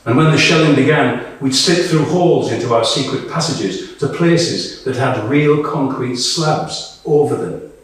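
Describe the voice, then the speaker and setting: A man performs a weary, blunt woman soldier in a stage monologue. The delivery is unhurried, wry and conversational, with some swearing. It is heard from a distance in a very echoey room. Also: medium pitch, animated, natural voice. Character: Tonya, weary, blunt female Ukrainian soldier. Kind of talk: dramatic monologue read to a live audience.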